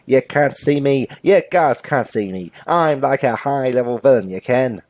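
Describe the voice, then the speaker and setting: A man's voice: mid-range voice, lively intonation, normal speed, a little mellow, lightly acted. Man acting a blustering, dim fantasy bank robber. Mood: menacing. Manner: pompous, indignant, colloquial dialect phrasing.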